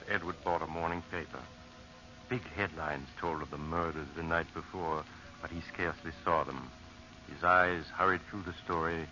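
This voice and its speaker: An actor playing a grave, dramatic storyteller, narrating a vintage radio horror tale. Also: male voice, sits low, pushed and bright. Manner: dramatic, deliberate. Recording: muffled old radio recording.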